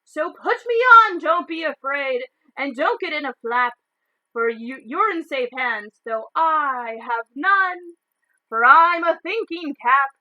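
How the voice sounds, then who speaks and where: A woman voicing a boastful, theatrical magical hat from a storybook, in slow declamatory sing-song verse with loud drawn-out calls. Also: sits high, lively intonation, lo-fi sound, pitched above the performer's own voice, heavily acted.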